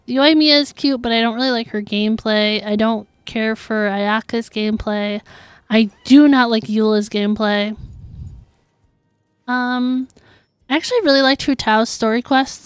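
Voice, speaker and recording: A woman's voice: a little high, moderate pace, clean tone, dry recording, clean studio sound. A woman chatting casually and informally while streaming a video game, reacting to viewers as she plays. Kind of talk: casual livestream chat while gaming.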